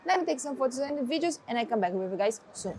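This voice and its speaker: A woman's voice: sits high, fast, over loud music. A woman whose first language is Portuguese, casually demonstrating a tablet's features step by step. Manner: relaxed, explaining step by step, non-native phrasing. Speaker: tech reviewer. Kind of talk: casual product demo.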